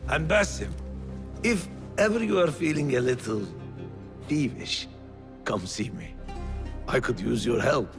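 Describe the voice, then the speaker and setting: An actor playing a devout, fond old rebel merchant with a gravelly voice in a video game. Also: male voice, medium pitch, animated, unhurried, pauses often, over music. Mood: fond, teasing. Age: older. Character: devout, nagging old rebel merchant, warm-hearted and grieving. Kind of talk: video game cutscene acting.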